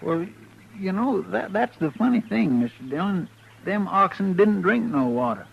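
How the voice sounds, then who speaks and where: An actor playing a folksy, deferential marshal's helper with a rural American accent and a hesitant drawl, in a western radio drama. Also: male voice, high-pitched, expressive, moderate pace, creaky, slight background noise. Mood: puzzled, earnest. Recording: muffled old-time radio recording.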